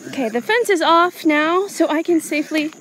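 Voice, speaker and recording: High-voiced woman narrating outdoors. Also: expressive, moderate pace, fairly bright tone. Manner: unscripted, thinking aloud. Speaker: small farm owner and vlogger. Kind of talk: casual vlog narration while doing farm chores. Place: outdoors on a farm. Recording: outdoor noise, insects and animal sounds.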